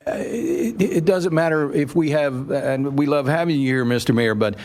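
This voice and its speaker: A man's voice: a little high, normal speed, a little mellow. An American man hosting a live radio show, talking to an audience in an effusive, rambling way.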